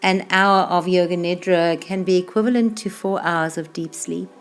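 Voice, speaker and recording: A woman yoga instructor leading a guided meditation in a slow, calm, soothing way, with unhurried phrases that trail off softly. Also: fairly low pitch. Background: music playing behind the voice.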